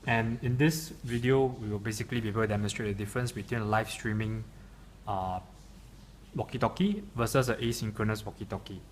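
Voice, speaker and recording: A man's voice: fairly low pitch, steady tone, fairly quick. A man giving an unscripted product demonstration in a hesitant, stop-and-start way. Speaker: software demonstrator. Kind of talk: unscripted product demo explanation. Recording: clearly noisy room recording.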